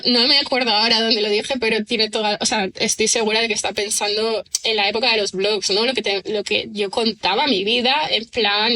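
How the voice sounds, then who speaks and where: Bright-voiced woman musing aloud. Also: on the high side, low-quality recording. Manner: thoughtful, rambling, frequent tag questions and fillers. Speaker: guest writer and screenwriter. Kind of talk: conversational podcast interview.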